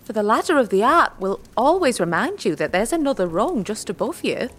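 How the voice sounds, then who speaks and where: A woman playing a gruff, wary old witch woman in an audio drama, blunt and teasing, speaking in rustic dialect. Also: a little high, very animated, fairly quick, slight background noise. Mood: stern, warning.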